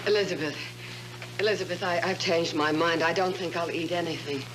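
An actress playing an anguished, pleading Victorian wife in a melodrama parody for television. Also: female voice, lowish voice, steady tone, brisk, crowd noise, lightly acted. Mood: weary, suffering. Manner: overwrought, pleading, repeats phrases. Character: anguished Victorian wife being driven mad, theatrically fretful. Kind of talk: 1950s TV melodrama parody acting. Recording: noisy vintage 1950s TV soundtrack with hum.

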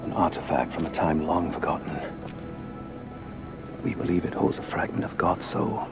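An actor playing a solemn, middle-aged leader of an ancient people in a video game, grave and measured. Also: male voice, very deep voice, music in the background. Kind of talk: cinematic game acting. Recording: loud orchestral score behind.

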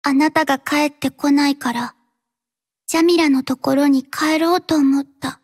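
An actress voicing a reserved, dutiful young female mercenary in an anime-style mobile game, speaking in a quiet, terse, halting and earnest way. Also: high-pitched, steady tone, natural voice. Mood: soft, reserved.

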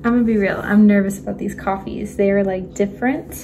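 A young woman chatting casually in her home kitchen at night, giggling and often dropping to a hushed voice, recorded on a phone camera on the counter. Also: medium pitch, steady tone, brisk, background noise. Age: young adult. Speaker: vlogger. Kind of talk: casual cooking vlog chat.

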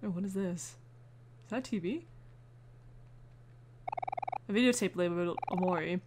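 A woman's voice: on the low side, normal speed, background noise. A woman doing let's play commentary on a video game, slangy, reacting aloud and muttering to herself. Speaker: gaming video host. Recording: music behind the voice. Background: loud video game music.

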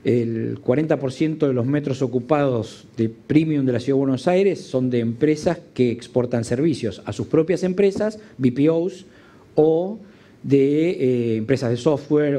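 A man's voice: medium pitch, moderate pace, dark tone. A man speaking as a panel guest, matter-of-fact and citing figures. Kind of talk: panel discussion.

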